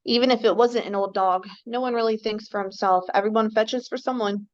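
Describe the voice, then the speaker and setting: A sly, sardonic male baboon voiced by a woman holds court in a homemade radio play. Her slightly high voice stays dry and dismissive, and the recording sounds dull and lo-fi. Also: steady tone, a little fast, lightly acted. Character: clever scheming male baboon. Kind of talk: amateur radio drama table read.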